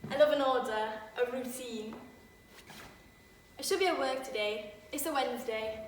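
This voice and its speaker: A woman's voice: high voice, normal speed, a little hiss or hum, lightly acted. A woman playing a chatty librarian mum. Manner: confiding, wry storytelling monologue. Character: orderly librarian woman, young mother, chatty and fond. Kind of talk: stage monologue. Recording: echoey room from far off.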